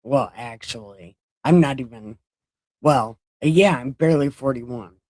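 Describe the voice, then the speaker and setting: A woman's voice: rumbling low, measured pace, warm tone, no echo, clean studio sound. A woman around forty who reads scripture to a live chat in a casual way, with profane, joking asides between passages. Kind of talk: casual read-along chat.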